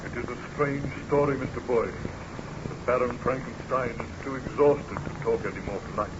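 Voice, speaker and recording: An actor playing an authoritative, skeptical but kindly ship's captain, with formal, commanding radio drama delivery. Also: male voice, medium pitch, fairly even intonation, normal speed, natural voice. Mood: kindly authority. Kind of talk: old-time radio drama acting. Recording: muffled, noisy old radio recording.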